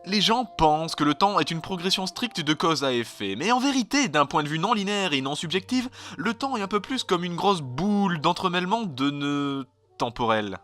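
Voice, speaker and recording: A man's voice: a little high, big pitch swings, moderate pace, fairly bright tone. A man voicing a grave, eccentric stranded time traveler in an amateur fandub, explaining things earnestly and dramatically. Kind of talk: amateur French fandub acting. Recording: home dub over background music.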